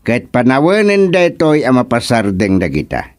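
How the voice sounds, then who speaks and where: A man playing a stern, authoritative village chairman with a deliberate, commanding manner in an Ilocano radio comedy drama. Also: medium pitch, very animated, no room sound, lightly acted. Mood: serious, firm.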